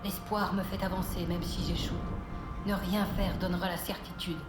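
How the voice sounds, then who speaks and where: A woman's voice: fairly low pitch, level tone, moderate pace, close to an everyday voice. A woman playing a small, frail young male pegasus in a French audio drama, earnest, bitter and defiant. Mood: earnest challenge. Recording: roomy echo under loud music.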